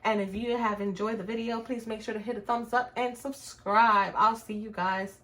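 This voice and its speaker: A woman's voice: fairly high pitch, steady tone, moderate pace, a bit bright. A middle-aged woman with a Puerto Rican accent, hosting a casual crafting tutorial and chatting to viewers about her work as she goes. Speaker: crafts channel host.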